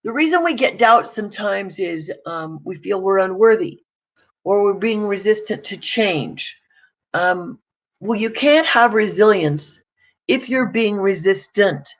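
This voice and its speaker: A woman's voice: medium pitch, lively intonation, measured pace, highs cut off. A woman coach giving a casual, plain-spoken morning talk to camera. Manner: chatty, pragmatic, plain-spoken.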